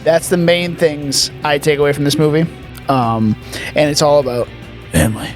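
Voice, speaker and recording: A man's voice: fairly high pitch, steady tone, moderate pace, soft background music. A man in his twenties co-hosting a casual movie review podcast, talking in a laid-back way. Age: 20s. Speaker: podcast co-host.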